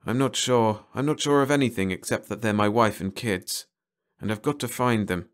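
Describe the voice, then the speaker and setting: A man voicing a troubled, unsure man searching for his family in a story, slow, hesitant and ill at ease. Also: fairly low pitch, close to an everyday voice. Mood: troubled, insistent.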